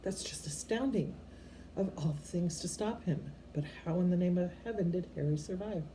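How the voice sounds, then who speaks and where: Woman voicing a stern, anxious witch professor, mellow toned. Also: fairly low pitch, normal speed, slight background noise, voice pushed up, natural voice, poor audio. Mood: shaken, amazed. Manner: emphatic, exasperated.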